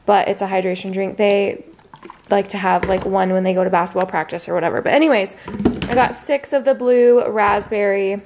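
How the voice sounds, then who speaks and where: Woman, 30s, casual vlog chat, upbeat with lots of fillers, gravelly fry, home kitchen, faint noise. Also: mid-range voice, steady tone, fairly quick. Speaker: vlogger. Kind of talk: casual grocery haul chat. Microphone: handheld vlog camera.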